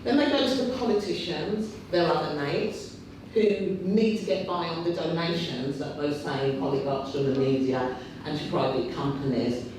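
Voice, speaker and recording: A man with a big, loud voice giving an impassioned union rally speech, repeating phrases to build his point. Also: a little high, moderate pace, few pauses, recorded from a distance, noisy background. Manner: impassioned, repetitive rhetorical buildup. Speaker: trade union speaker. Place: meeting hall. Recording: very echoey hall, picked up from afar.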